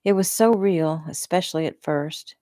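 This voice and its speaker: A woman reading the part of a vulnerable but defiant young woman who speaks softly. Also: low-pitched, normal speed, no room sound, clean studio sound, close to an everyday voice. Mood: hurt. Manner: soft, pleading.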